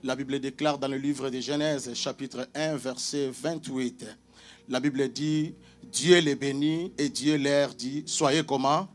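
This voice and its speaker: Preacher. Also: male voice, medium pitch, fairly even intonation, unhurried. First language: Portuguese. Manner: emphatic call-and-response with frequent amens. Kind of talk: sermon. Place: church hall with congregation.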